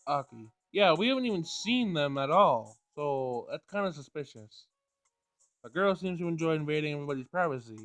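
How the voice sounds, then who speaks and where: A man with a slightly high voice reads story text from a game aloud, now and then tripping on a word and going back over it. The sound is fairly dry and close, with music playing behind him. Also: dry recording. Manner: stumbles over words and rereads lines. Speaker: player reading a visual novel aloud. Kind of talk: Let's Play read-aloud of game text.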